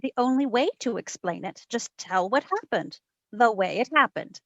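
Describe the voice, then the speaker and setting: A woman's voice: a little high, lively intonation, normal speed, fairly bright tone, no echo, lo-fi sound. A woman playing a wisecracking, cool-headed female private investigator in an old-time radio detective drama. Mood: brisk, urgent. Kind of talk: 1940s radio detective acting and narration.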